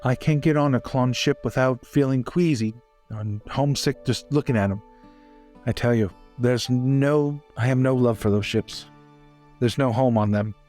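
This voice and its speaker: A man's voice: medium pitch, normal speed, darkish tone, natural voice. A man playing a respectful, world-weary navy sailor in a casual, hesitant way for a tabletop game. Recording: faint music behind.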